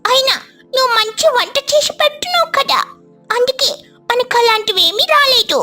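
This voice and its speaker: A woman's voice: extremely high pitch, lively intonation, normal speed, bright, forceful tone, soft background music, voice pushed up, heavily acted. A woman voicing an eager, helpful little child sparrow in a thin childlike voice for a cartoon story. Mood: chatty.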